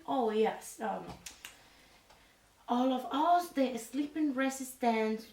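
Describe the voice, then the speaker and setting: A woman playing an earnest resistance fighter in a soft-spoken military ASMR roleplay, with halting, formal delivery. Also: fairly high pitch. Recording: echoey room, distant from mic.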